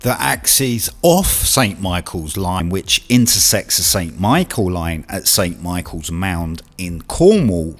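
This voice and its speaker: Man narrating with lively, swinging intonation. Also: on the low side. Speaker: video narrator and presenter. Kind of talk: scripted documentary-style narration. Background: music.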